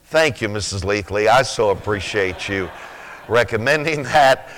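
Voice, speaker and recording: A man in his sixties with a rough, sleepy-sounding voice, preaching a sermon slowly, with many pauses, then rising with fervent emphasis. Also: fairly low pitch, expressive, a bit bright, slight background noise. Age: 60s. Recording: reverberant hall.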